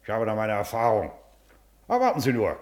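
An actor playing a self-important, condescending Prussian district official in a classic radio play, pompous and declamatory. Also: male voice, fairly low pitch, huge pitch swings, brisk, darkish tone.

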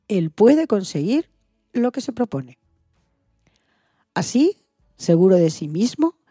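Storyteller, woman. Low, slightly husky voice for scripted children's tales, recorded dry. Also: dark tone, no room sound. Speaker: radio show storyteller.

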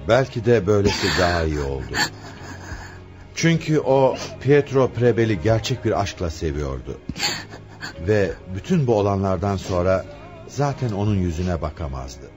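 An actor playing a stern, probing, husky police commissioner with an authoritative manner in a radio play. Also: male voice, on the low side, big pitch swings, moderate pace, music in the background. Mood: somber. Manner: authoritative, interrogating.

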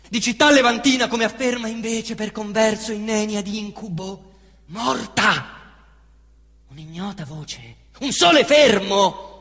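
A man acting a young Roman street hustler in a stage monologue, with a Roman accent, veering from muttering to shouting and cursing. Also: on the high side. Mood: feverish. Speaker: stage actor. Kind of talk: theatrical monologue. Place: theatre stage. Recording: echoey theatre reverb.

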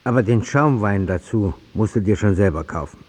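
An actor playing a dry, mocking inner voice that comments on a life, in a German radio drama. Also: male voice, fairly low pitch, expressive, normal speed, a little mellow, slightly rough. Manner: dry, sardonic.

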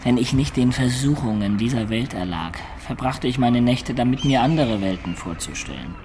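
A man plays a worldly medieval adventurer telling the tale of his life. His voice sits at a middle pitch, reflective and confiding, in radio drama style with faint ambience behind it. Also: animated, normal speed, darkish tone, slight background noise, close to an everyday voice. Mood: wistful, confiding. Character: Baudolino, worldly medieval adventurer recounting his wild youth.

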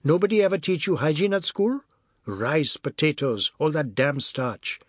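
A male narrator acts out a defiant, grumbling husband in a storybook reading. He has a Caribbean accent and a raised, husky voice. He sounds indignant and mocking, and the sound is close and dry. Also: fairly high pitch, lively intonation, a little slow, no room sound. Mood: mocking irritation. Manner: indignant, mocking, muttering at times. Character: irritable, jocularly defiant husband, grumbling and provocative.

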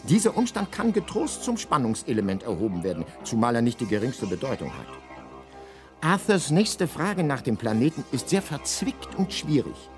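A man narrating as a galactic guidebook in a comic radio play, mock-solemn and deadpan in his wording. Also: mid-range voice, normal speed, over music.

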